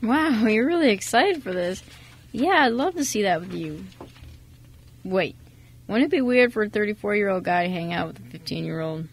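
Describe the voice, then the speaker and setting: A husky, creaky voice from a woman playing a kindly male mechanic in his thirties for a radio play. He talks casually and a little awkwardly, hesitant and self-effacing. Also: medium pitch, animated, moderate pace. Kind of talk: amateur radio drama dialogue.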